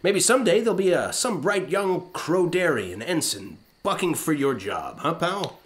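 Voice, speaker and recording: An actor playing a brash, self-satisfied starship captain in a comedic science-fiction radio play, glib and pompous. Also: male voice, on the high side, very animated, normal speed, a little hiss or hum. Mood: defensive.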